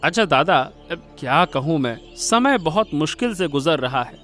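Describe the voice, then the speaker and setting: A man's voice: on the high side, lively intonation, moderate pace, a bit bright. A man playing a poor, curious younger village neighbour in a Hindi cartoon story, talking in a chatty, rustic way. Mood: downcast. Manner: folksy, rustic, chatty delivery. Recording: music playing behind the voice.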